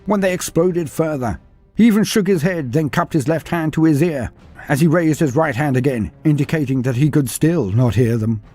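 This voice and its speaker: A man with a husky voice reading a fantasy tale in a dry, wry storytelling manner. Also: fairly high pitch, moderate pace, dark tone, faint music behind. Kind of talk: scripted fantasy narration.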